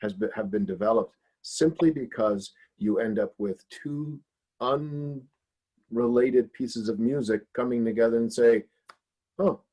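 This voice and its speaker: A man, a music producer on a video-call panel, giving animated, emphatic opinions with casual slang. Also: mid-range voice, unhurried, slightly rough, poor audio.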